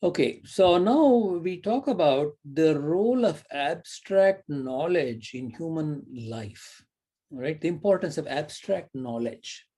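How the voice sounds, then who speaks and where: A man's voice: fairly high pitch, lively intonation, takes it slowly, dark tone. A middle-aged man giving a recorded university lecture, thinking aloud with fillers and frequent "right?" tags.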